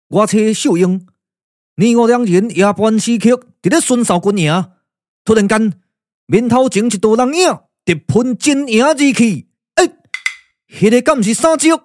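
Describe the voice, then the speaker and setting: A man's voice: very high-pitched, expressive, unhurried, dry recording, clean studio sound. A man voicing an earnest, alert young warrior in a Taiwanese puppet radio drama. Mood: urgent, curious.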